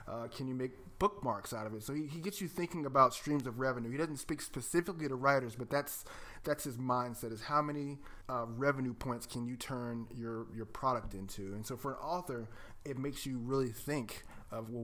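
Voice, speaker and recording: A man's voice: medium pitch, fairly bright tone. A man co-hosting a podcast in casual conversation, talking in an enthusiastic, emphatic way. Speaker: podcast co-host.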